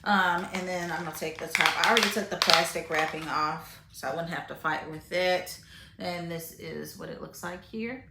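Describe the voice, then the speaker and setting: A woman's voice: lowish voice, background noise. Chatty woman in an echoey room. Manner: chatty, frequent fillers and restarts; eats while talking, tasting food on camera. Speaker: vlogger reviewing grocery finds. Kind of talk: casual chat to camera.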